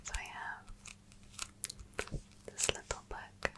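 Female ASMR reader: breathy whisper, crisp bright sibilance, soothing, unhurried meditation-guide delivery. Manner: slow, sleepy, soothing delivery. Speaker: ASMR channel host.

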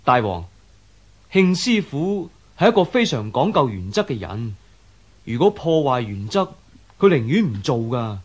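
An actor playing a respectful, cautious minister of an ancient court in a radio drama, formal and earnest, with a Hong Kong Cantonese accent. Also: male voice, medium pitch, sing-song, unhurried, breathy edge.

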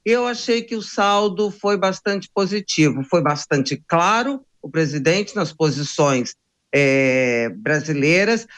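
An older Brazilian woman with a low voice gives political commentary. She talks conversationally, as a seasoned pundit would from her study. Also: takes it slowly. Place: home study with bookshelves. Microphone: branded desk microphone on a video link.

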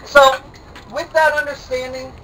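A man's voice: extremely high pitch, flat delivery, a little slow. A young man giving a rousing rally speech through a megaphone at an outdoor protest, calling for the crowd's response. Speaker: rally speaker. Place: outdoor city plaza protest. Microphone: handheld megaphone, caught by a camera some way off. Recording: distant megaphone amid a noisy crowd.

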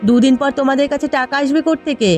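Woman putting on a lower, scolding, grumpy housewife. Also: very fast, darkish tone, faint music behind, lightly acted. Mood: scornful, scolding. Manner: scolding, sneering. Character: grumpy, stingy village sister-in-law, scornful housewife. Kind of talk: Bengali cartoon voice acting.

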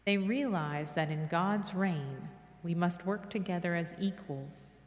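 A middle-aged woman reads prayers and meditations with solemn reverence. Her voice is a little low and her pace is slow. It rings out in a large, echoey church with long reverb. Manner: measured, reverent. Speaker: reader of a church devotional. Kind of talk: solemn scripted devotional reading and prayer. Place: large church interior, at a lectern. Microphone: microphone on lectern stand.

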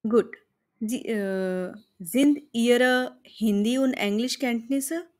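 A woman's voice: a little high, very slow. A woman with an Indian accent voicing a friendly, businesslike female travel agency manager speaking formally on the phone in a lesson dialogue. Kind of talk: scripted dialogue read for learners.

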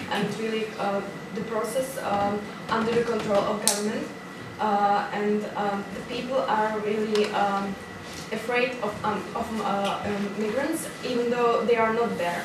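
A young woman asks a question from the audience, haltingly and with many pauses. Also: mid-range voice, steady tone. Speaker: audience member asking a question. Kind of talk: hesitant spoken question. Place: lecture room, indoors. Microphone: far camera. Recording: very echoey room, distant, noisy.

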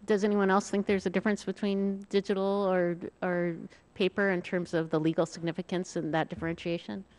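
Lower-voiced woman questioning a witness. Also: flat delivery, darkish tone, rough recording. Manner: deliberate, polite questioning. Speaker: committee member questioning witnesses. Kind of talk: formal questioning. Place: large hearing room. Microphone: desk microphone.

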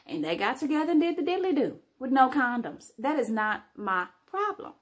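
A woman's voice: high-pitched, big pitch swings, moderate pace. A woman voicing a cocky show-off who brags and mocks others with sass. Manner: brash, sassy bragging. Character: cocky, boastful woman who insults everyone.

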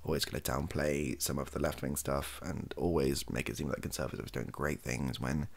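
A British man in his thirties speaking softly in an improvised, rambling monologue, halting often and correcting himself as he goes. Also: a bass voice, fairly even intonation, gravelly. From United Kingdom. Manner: hesitant, halting, self-correcting. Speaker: ASMR video creator. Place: small indoor home room.

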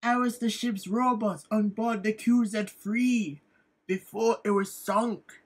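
A man voicing a nervous male ship's robot with a high, squeaky voice in a storybook reading. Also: fairly even intonation, slow, slightly rough, full character voice. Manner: nervous, polite.

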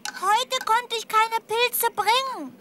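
A woman voicing a playful, excuse-making little boy tiger with a squeaky, bright voice in a children's audio play. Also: slow pace, noisy background.

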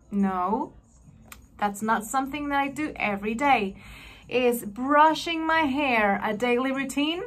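A woman's voice: a little high, fairly bright tone. A woman in her thirties teaching young learners, explaining conversationally with repeated phrases and questions she answers herself. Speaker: language teacher. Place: small furnished room at home, indoors.

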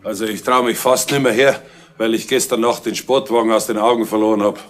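An actor playing a stubborn retired police inspector in a 1970s radio crime drama, with a gruff, plain manner. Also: male voice, fairly low pitch, steady tone, fairly quick, slight background noise, close to an everyday voice.

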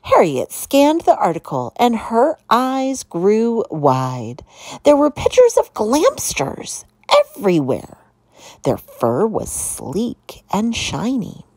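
Slow, hugely expressive woman storyteller. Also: medium pitch, no echo. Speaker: storyteller reading aloud to children. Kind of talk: expressive children's picture book narration.

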